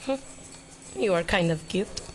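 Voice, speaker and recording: An actress playing a brash, sarcastic young hacker, snappy and blunt, in an audio drama. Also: female voice, fairly low pitch, lively intonation, a little slow, over music. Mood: sarcastic, teasing.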